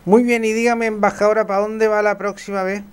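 A man's voice: sits high, flat delivery, brisk, smooth. A man hosts a conversational interview in a courteous, familiar and complimentary manner. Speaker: interviewer, host.

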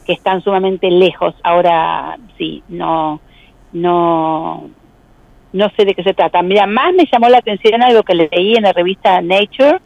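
A woman with a provincial Buenos Aires accent explaining things as an expert interviewee, in measured, hesitant phrasing. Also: on the low side, creaky, a little mellow, no room sound, dull audio. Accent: provincial Buenos Aires Argentine. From Argentina.

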